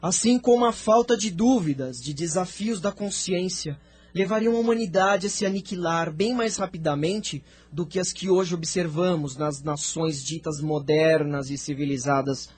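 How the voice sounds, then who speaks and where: A man with a Brazilian accent playing a venerable, thoughtful spirit sage in an audio drama, speaking in a measured, formal, declamatory way. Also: on the high side, a little mellow, husky. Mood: solemn, reflective. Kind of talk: scripted audio drama dialogue.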